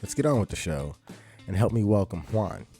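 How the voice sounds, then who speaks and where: Slightly hoarse low-voiced podcast host. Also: male voice, sing-song, a little fast, dark tone, faint music behind. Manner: easygoing, conversational, with restarts. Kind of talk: podcast intro and announcements.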